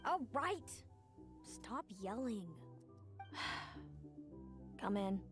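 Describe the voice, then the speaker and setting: A woman's voice: on the high side, lively intonation, extremely slow. A woman playing a grumpy, reclusive young woman in a video game, terse, drawling and reluctant. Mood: resigned. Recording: game music clearly behind.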